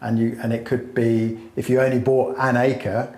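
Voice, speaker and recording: A man in casual podcast chat, explaining land prices in long, meandering sentences full of fillers. His voice sits at a middling pitch. The room around him is noticeably echoey. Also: little pitch movement, normal speed, a little mellow. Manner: rambling, explanatory, many fillers. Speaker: smallholding expert.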